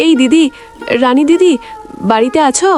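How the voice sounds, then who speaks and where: A woman's voice: high-pitched, lively intonation, normal speed, darkish tone, faint music behind. A woman voicing a neighbourly, fretful village housewife in a cartoon. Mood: friendly. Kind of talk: cartoon voice acting.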